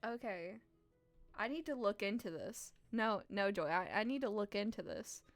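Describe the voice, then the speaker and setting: A woman streamer, playful and expressive, reading a visual novel aloud and chatting on a live stream. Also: mid-range voice, moderate pace, faint music behind. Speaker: game streamer. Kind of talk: live stream chat and read-aloud narration.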